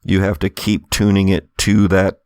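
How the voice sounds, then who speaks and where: A man's voice: sits low, level tone, normal speed, a little mellow. A man narrating a tabletop roleplaying game as game master, describing scenes in a conversational, slightly hesitant way.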